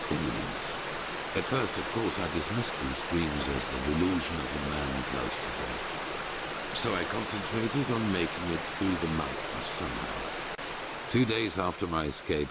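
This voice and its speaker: Super deep old fantasy game grandmaster, solemn and formal, voice swings a lot, noisy ambience behind. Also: male voice. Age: older. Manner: solemn, formal, measured storytelling. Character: grave old grandmaster of a holy order. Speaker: video game character. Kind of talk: dramatic fantasy game dialogue.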